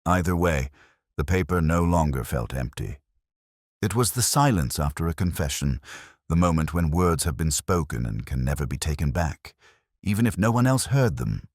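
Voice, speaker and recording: A man narrating as a brooding, reflective English detective, in measured, literary, melancholy first-person mystery storytelling. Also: a bass voice, animated, darkish tone, no echo, clean studio sound.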